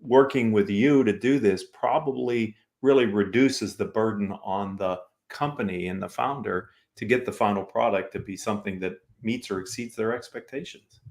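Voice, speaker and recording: A man's voice: lowish voice, normal speed, hardly any pauses, darkish tone. A man with an American accent hosting a conversational business interview, speaking in an affirming, complimentary way to his guests. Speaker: talk show host.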